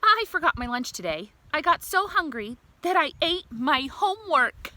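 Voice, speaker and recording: A woman's voice: sits high, very animated, normal speed, bright, forceful tone, dry recording, pitched above the performer's own voice, heavily acted. A woman voicing a young, mischievous earthworm from a storybook, telling his diary with childlike earnestness. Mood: matter-of-fact. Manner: earnest, childlike, simple diary-style statements.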